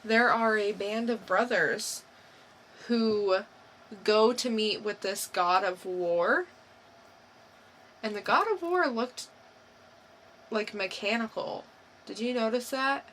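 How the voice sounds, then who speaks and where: A woman with a bright, forward voice talks at an unhurried pace as a podcast co-host. She feels her way through a casual review, often unsure and thinking out loud. There is faint noise behind her. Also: mid-range voice. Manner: halting, unsure, thinking aloud. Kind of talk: casual, unscripted review chat.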